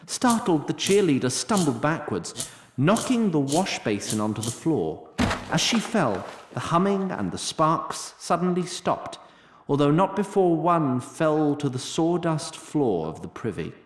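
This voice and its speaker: Guy narrating a fantasy tale, literary and measured, very expressive pitch, echoey, bit of noise. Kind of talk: scripted fantasy story narration. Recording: roomy sound, faint noise.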